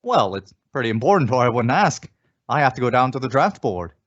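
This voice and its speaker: Meek, animated sitcom husband. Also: male voice, medium pitch. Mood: polite, hesitant. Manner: polite, halting, meek. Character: George Cooper, polite, hesitant husband and employee. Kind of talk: old-time radio sitcom acting. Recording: dull, dry lo-fi radio recording.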